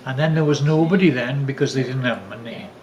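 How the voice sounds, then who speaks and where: An older man with a Welsh accent tells family stories casually, often halting to search for words and repeating phrases. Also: medium pitch, a little mellow, dull audio. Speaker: father telling family stories. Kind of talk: casual reminiscing storytelling.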